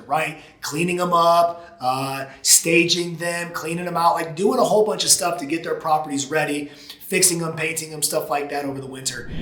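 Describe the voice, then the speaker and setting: A hoarse man in his thirties, a real estate agent talking casually and energetically to the camera from an office. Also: fairly high pitch, echoey room, rough recording. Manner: energetic, gesturing with both hands. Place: office room with glass walls.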